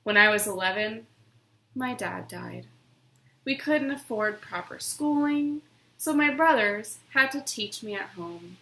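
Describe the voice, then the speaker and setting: A woman giving an expressive, theatrical dramatic reading of a children's book. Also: medium pitch, a little slow. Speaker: storybook reader. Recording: roomy, echoing room sound.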